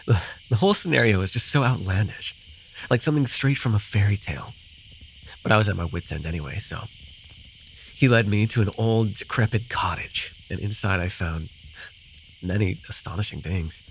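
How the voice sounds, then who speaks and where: A man's voice: lowish voice, expressive, normal speed, gravelly. A man acting a rambling, amused forest fae in a fantasy ASMR roleplay, telling his tale with hesitant, apologetic asides. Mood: amused storytelling. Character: rambling, amused male forest-dwelling fae storyteller with bird companion. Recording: noisy with cricket and insect ambience. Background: night forest ambience with crickets and insects.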